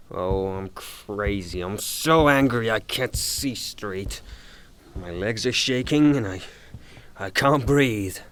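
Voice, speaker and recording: A man playing an angry, blustering, woman-hating creditor, ranting theatrically in an audio drama. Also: lowish voice. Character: angry blustering male creditor, hot-tempered woman-hater.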